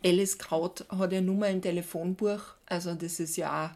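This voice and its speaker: A woman co-hosting a podcast, chatting casually in an expressive, exclamatory way. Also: on the low side, normal speed. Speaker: podcast co-host. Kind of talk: casual chat.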